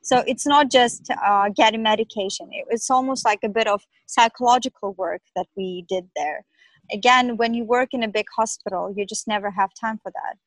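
A woman's voice: on the high side, normal speed, no room sound, low-quality recording. Hesitant young woman doctor, thoughtful. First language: not English. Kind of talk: interview answers.

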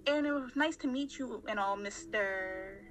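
A woman's voice: medium pitch, measured pace, fairly bright tone, slight background noise, lightly acted. A woman playing a suspicious, brusque eighteen-year-old girl in an amateur radio play, speaking curtly and sarcastically. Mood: guarded, impatient. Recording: music under the voice.

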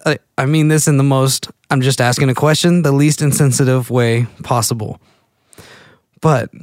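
A man's voice: a little high, smooth, dry recording, studio quality. A man in his late twenties with a Texas accent, rambling goofily through a casual monologue as a podcast host. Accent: Texan. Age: late 20s. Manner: goofy, rambling, self-interrupting.

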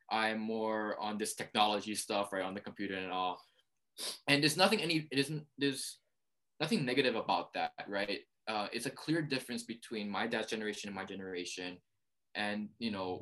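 Earnest young man, low voice. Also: a bit bright. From Hawaii. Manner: earnest, explanatory, frequent fillers and restarts. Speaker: interview guest. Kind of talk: reflective conversation.

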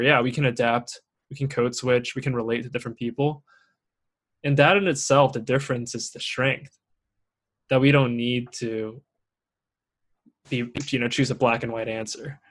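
A man's voice: mid-range voice, steady tone, moderate pace, a bit bright. A man hosting a casual interview chat who grew up in Singapore and Shanghai, speaking in an earnest, encouraging way. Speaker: podcast host.

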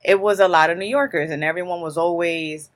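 A guest in their thirties from Newark speaks, answering in long, emphatic stories full of casual street phrasing. The voice sits at a middle pitch, neither high nor low. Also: fairly even intonation, a little fast, fairly bright tone. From Newark, New Jersey. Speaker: guest, creative in publishing.

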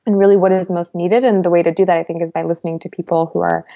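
Young woman, twenties, interview guest. Thoughtful, halting, lots of fillers. Lowish voice, muffled recording. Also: level tone, gravelly, mellow. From United States. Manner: thoughtful, hesitant, many fillers and restarts. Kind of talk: reflective conversational interview.

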